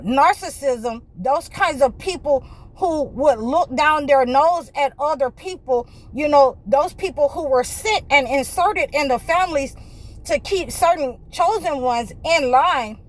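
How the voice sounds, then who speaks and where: A woman with a high voice preaches to her viewers in a fervent, circling way. She keeps restarting her sentences and leaning on "you know", and at times pushes up close to a shout. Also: takes it slowly, rough recording. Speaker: spiritual healer and channel host.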